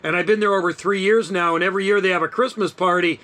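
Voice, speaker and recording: Bright, high-voiced chatty man in his sixties. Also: fairly even intonation, quick pace. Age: 60s. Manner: chatty, upbeat storyteller. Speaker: amateur radio operator. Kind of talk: casual radio chat.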